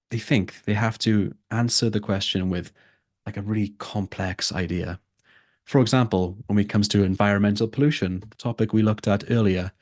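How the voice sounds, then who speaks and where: A man's voice: lowish voice, a little mellow, no echo, clean studio sound. A man who tutors for a test, teaching a live online lesson and repeating his key points for emphasis.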